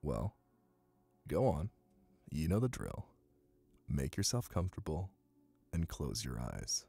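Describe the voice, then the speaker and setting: A man acting as a confident, dominant young college hypnotist in an ASMR roleplay, speaking softly with soothing command. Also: rumbling low, big pitch swings, creaky, breathy edge, faint music behind, full character voice. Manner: soft, commanding, soothing, deliberate. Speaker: voice actor.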